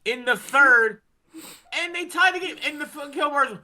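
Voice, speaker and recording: A man with a high, very sharp and forceful voice talks like a fed-up sports fan on a podcast. He rants casually, with plenty of cursing and exasperation. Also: expressive, moderate pace, slight background noise. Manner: ranting, heavy swearing, exasperated. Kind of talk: casual hockey fan chat.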